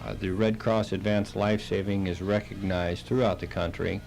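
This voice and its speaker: Deep voiced guy w lots of fry, kinda halting, plain talking radio guest. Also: little pitch movement, a little mellow, soft background music, rough recording. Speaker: interview guest, Red Cross chapter director. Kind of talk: radio interview, explaining programs.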